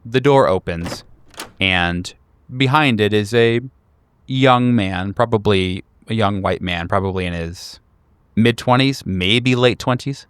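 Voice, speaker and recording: A man narrating a tabletop horror game as game master, describing scenes with halting pauses mid-sentence. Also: fairly low pitch, clean tone, dry recording.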